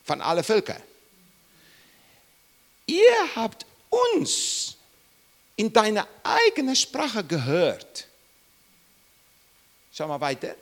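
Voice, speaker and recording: Emphatic preacher man, swooping pitch. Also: wildly swooping pitch, very slow, long pauses. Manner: emphatic, rising and falling sharply, repeats questions to listeners. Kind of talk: Bible teaching sermon.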